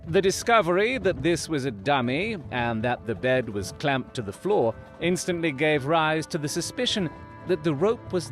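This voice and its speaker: A man performs a self-assured Victorian detective for an audio drama. He is coolly analytical and explains in precise, formal, theatrical phrases at a middling male pitch, with music underneath. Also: close to an everyday voice. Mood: assured, explanatory.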